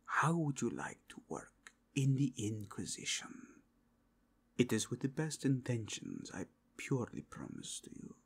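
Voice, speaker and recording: A slow, husky inquisitor played by a man for a fantasy ASMR roleplay. He is a suave churchman, formal and insinuating, with whispery breath and pitch that swoops widely as he probes. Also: big pitch swings, heavily acted. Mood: persuasive, hushed. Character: suave, probing male cardinal of a fantasy Inquisition.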